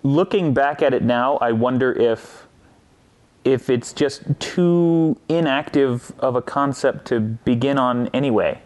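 A man's voice: mid-range voice, a little slow, a little mellow. A man who co-hosts a writing podcast, chatting casually as a writer. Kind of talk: casual chat.

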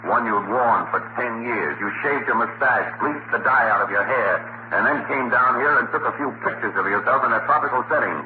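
An actor playing a shrewd, confident newspaper photographer turned sleuth in a 1940s radio crime drama, brisk and assured. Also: male voice, medium pitch, noisy background, close to an everyday voice. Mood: explaining coolly. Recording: tinny old 1940s radio recording.

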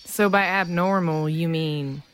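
A woman's voice: fairly low pitch, fairly even intonation, measured pace, clean tone, close to an everyday voice. A woman playing a nosy, skeptical small-town local in a naturalistic audio drama, with a blunt, teasing manner.

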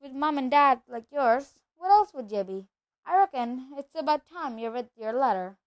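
A woman voicing a huge, gruff, fiery-tempered man in a storybook, booming and rustic in his turns of speech. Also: lively intonation, moderate pace. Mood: gruff, proud.

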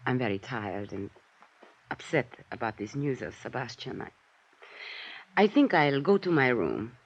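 A woman's voice: a bass voice, big pitch swings, measured pace, exaggerated voice. Tired upset woman, hesitant, subdued, vintage radio drama, muffled sound, faint noise. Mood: weary, upset. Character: weary, upset, softly helpful woman at an inn. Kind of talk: 1950s radio acting. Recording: dull, faintly noisy 1950s radio recording.

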